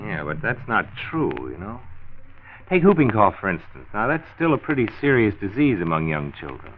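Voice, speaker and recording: Casting: concerned American doctor, lowish voice, firm and didactic, vintage radio drama on a noisy, narrow-band recording. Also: male voice, very animated, normal speed. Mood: earnest, instructive. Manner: explanatory, emphatic, didactic. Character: earnest married male doctor, health commissioner, firm and concerned. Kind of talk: 1940s educational radio drama acting.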